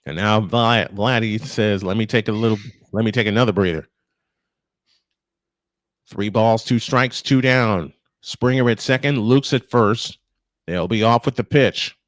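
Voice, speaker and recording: A man's voice: on the low side, measured pace, pauses often, no echo. A man calling live baseball play-by-play as a sportscaster. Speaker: play-by-play commentator on a live stream.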